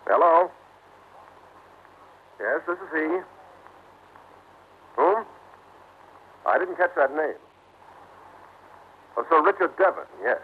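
An actor playing a suave, calm gentleman detective in an old radio mystery, polished, measured and dryly humorous, on a tinny, noisy recording. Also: on the high side, husky, exaggerated voice. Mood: courteous. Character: suave, calm American gentleman detective, bantering newlywed husband. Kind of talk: old-time radio mystery acting and narration.

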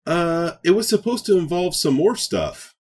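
A man's voice: sits high, lively intonation, normal speed, a little mellow, husky. A man co-hosting a podcast, talking casually and enthusiastically about nerd news with playful joking. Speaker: podcast co-host.

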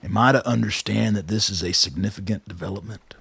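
An actor playing a blunt, shrewd old soldier, a commanding colonel with a gravelly, husky voice in a radio drama. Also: male voice, lowish voice, a little fast, a bit bright, no echo, full character voice. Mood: probing, dry. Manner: blunt, commanding, dryly pressing.

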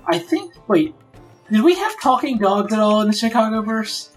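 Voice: medium pitch, lively intonation, moderate pace, far from the mic. A player in a tabletop game chat, thinking aloud and eagerly building on ideas. The voice sits back from the mic, with faint music underneath.

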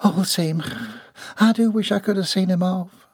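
Man doing worried kindly lady, high voice, breathy, dry, storybook reading. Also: normal speed, darkish tone, no echo. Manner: fretful, fond, gushing. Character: worried kindly older woman of the house.

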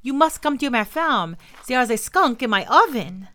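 A woman voicing a plump, excitable old farm woman in a storybook tale, urgent and sharply indignant. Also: a little high, normal speed, voice pushed up. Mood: urgent, alarmed. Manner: calls out urgently, retorts sharply.

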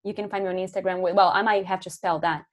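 A woman's voice: lowish voice, fairly even intonation, fast. A woman with a Brazilian accent, a podcast guest and energy coach, talking in an earnest, reflective way. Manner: earnest, reflective, spiritual vocabulary. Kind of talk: casual podcast conversation.

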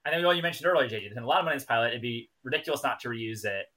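A man's voice: medium pitch, animated, rapid-fire, pushed and bright. A man in a casual, analytical discussion on a TV review podcast. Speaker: podcast co-host.

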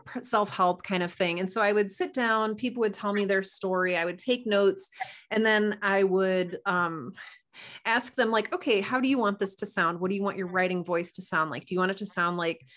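A woman's voice: medium pitch, fairly bright tone. A woman talking casually as a podcast guest, telling stories informally and quoting herself as she goes. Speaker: guest writer and podcast producer. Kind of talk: casual conversational storytelling.

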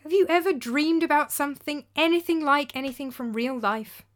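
A woman voicing a calm, sensible man who gently but firmly talks a friend out of panic. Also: high voice, brisk, pitched above the performer's own voice, close to an everyday voice. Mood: reassuring. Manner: calm, gentle, firm reassurance. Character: calm, sensible older male host.

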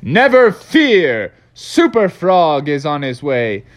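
A woman's voice: deep voice, sing-song, takes it slowly, heavily acted. A woman voicing an eager, easily exasperated frog who plays superhero in a storybook, earnest and declamatory. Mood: heroic.

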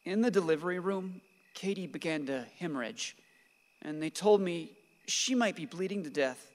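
A man's voice: a little high, normal speed, natural voice. An actor playing a grieving, guilt-ridden father who clings to his guns, in a radio play. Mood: sorrowful, bitter. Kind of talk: stage-like radio play acting. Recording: reverberant hall-like room.

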